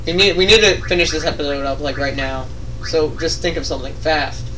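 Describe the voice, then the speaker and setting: A young man with a high, bright voice plays a video game with a friend. He jokes crudely and grumbles in exasperation. The mic is at a distance, with faint noise and game sound behind him. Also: steady tone, fairly quick. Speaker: let's play commentator. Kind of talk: casual gaming commentary with a friend.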